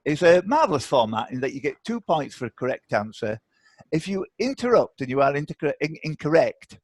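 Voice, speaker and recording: A man's voice: mid-range voice, no echo. A man hosting a live online quiz, chatty and encouraging as he reads questions aloud. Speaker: quiz host. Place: online video call.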